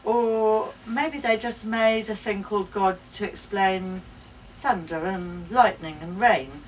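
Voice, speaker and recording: A woman reading the part of an earnest, affectionate mother, halting and emphatic as she explains things to her child. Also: mid-range voice, natural voice. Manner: halting, emphatic, searching for words. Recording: distant mic, noisy live bookshop event.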